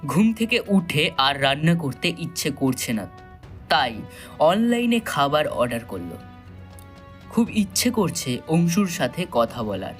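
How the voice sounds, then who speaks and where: A man narrating a thriller audio story in a dramatic, suspenseful style with measured pauses. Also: on the high side, very animated, faint music behind.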